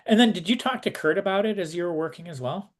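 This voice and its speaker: A man with a fairly high-pitched voice conducts an interview. His questioning is casual and detailed, building up specifics as he goes, with short acknowledgements in between. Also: quick pace. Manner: detail-minded, gives brief acknowledgements.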